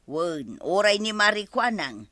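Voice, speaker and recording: A gossipy village woman in an Ilocano radio comedy-drama. She has an Ilocano accent and a bright, very animated delivery in a dry studio sound. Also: medium pitch, measured pace, no echo. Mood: chatty.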